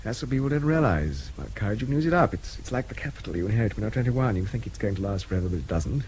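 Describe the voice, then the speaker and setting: An actor playing a wry, war-weary intelligence captain in a radio drama, dry, clipped and self-deprecating. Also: male voice, lowish voice, very animated, rapid-fire, a little mellow. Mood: musing. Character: war-weary, wry British army intelligence captain, frank about fear. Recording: radio drama with faint background noise.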